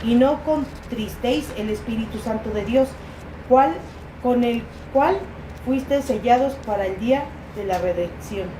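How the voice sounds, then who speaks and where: A woman from the congregation quietly reading a Bible passage aloud, halting and restarting. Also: fairly high pitch, roomy sound, background noise. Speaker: congregation member reading scripture.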